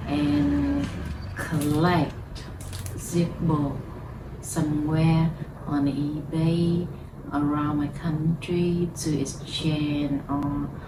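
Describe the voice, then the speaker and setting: A low-pitched woman from Vietnam talks very slowly in halting second-language English, filling her pauses with uh and um. She is vlogging casually from home. The recording is roomy and distant, with steady traffic noise behind her. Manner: halting non-native English, many fillers. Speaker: lighter collector vlogger. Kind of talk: casual chat to camera.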